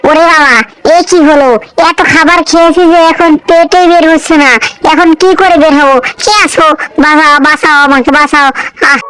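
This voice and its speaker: A woman's voice: high voice, steady tone, normal speed, fairly bright tone, rough recording. A woman voicing a sly, greedy, whining fox in a cartoon, with a dramatic, complaining way of talking. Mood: pleading, distressed. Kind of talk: cartoon voice acting.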